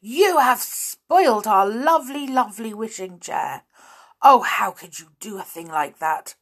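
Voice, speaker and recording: Woman acting a high, wailing, angry little girl. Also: lively intonation, a little slow, fairly bright tone, heavily acted. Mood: upset, furious. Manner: wailing, reproachful. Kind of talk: children's storybook character voice.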